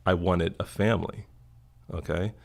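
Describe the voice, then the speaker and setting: A man's voice: low voice, fairly even intonation, moderate pace, vocal fry, a little mellow. A middle-aged man from Florida, a podcast guest speaking earnestly and reflectively, repeating key phrases for emphasis. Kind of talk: casual interview talk.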